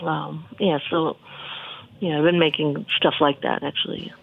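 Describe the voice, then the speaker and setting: Gravelly chef on a tinny phone line. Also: mid-range voice, measured pace. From Michigan, USA. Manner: relaxed, rambling, many ums and restarts. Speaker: chef and cookbook author, guest. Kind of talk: casual interview answers.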